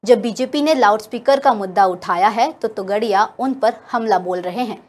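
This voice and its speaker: A woman's voice: mid-range voice, normal speed, a little mellow. A woman working as a news anchor, reading scripted news narration.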